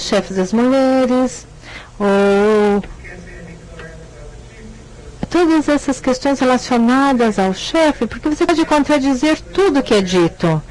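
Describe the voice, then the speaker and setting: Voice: mid-range voice, low-quality recording. Interpreter relaying a lecture, hesitant. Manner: hesitant, self-correcting. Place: lecture hall.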